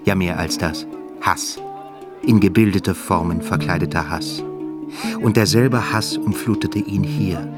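A man narrating a literary radio play in a scripted storytelling style. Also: sits low. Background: loud guitar music.

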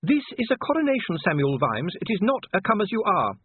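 A man voicing a firm, commanding aristocratic wife in an audiobook, brisk and imperious. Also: high voice, huge pitch swings, breathy edge, no echo, phone-quality sound, voice pushed up, full character voice. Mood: stern, commanding.

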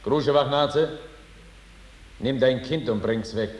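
An actor playing a roguish, imperious judge in a declamatory 1950s German radio drama style, in an echoey old recording. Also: male voice, mid-range voice, slow pace, a little hiss or hum, natural voice. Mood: solemn, imperious. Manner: declamatory, commanding. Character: roguish, imperious male judge pronouncing a verdict.

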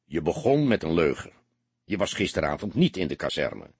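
A man acts out an older detective in an audiobook, patient and fatherly. He questions in a low, husky voice with a measured, insistent manner. The sound is dry. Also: sing-song, a little mellow, dry recording, full character voice. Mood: fatherly, calm.

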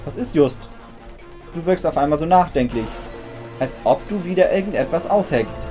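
Man playing a mild boy detective, mellow. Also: a little high, animated, normal speed, soft background music, natural voice. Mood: curious. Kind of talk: parody audio drama acting.

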